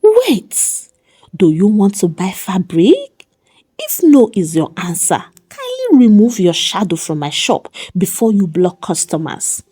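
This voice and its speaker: A woman's voice: medium pitch, wildly swooping pitch, measured pace, dark tone, slightly rough, full character voice. A woman voicing a proud, sassy young seamstress in a folk tale, blunt and mocking. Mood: mocking, defiant.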